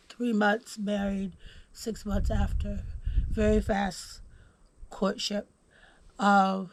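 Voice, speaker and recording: A woman's voice: mid-range voice, dry recording. Dry close-miked woman host. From Houston, Texas. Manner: relaxed, filler-heavy, reads from notes. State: under the weather. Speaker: podcast host. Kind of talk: casual conversation.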